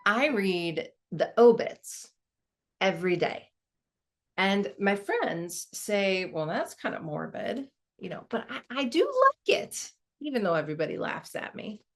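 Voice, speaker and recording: A woman performs as a reflective female lawyer in a dramatized monologue. She talks conversationally and anecdotally, with a wry streak, at a middling pitch. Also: sing-song, unhurried. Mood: wry, amused.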